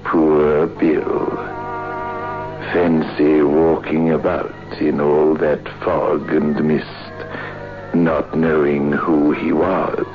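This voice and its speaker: A man's voice: extremely low pitch, full character voice. An actor playing a sinister, darkly amused horror host on 1960s radio, drawing out his words with macabre relish. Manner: slow, drawn-out, macabre relish. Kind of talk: 1960s old-time radio horror hosting. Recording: dull 1960s radio recording, music behind.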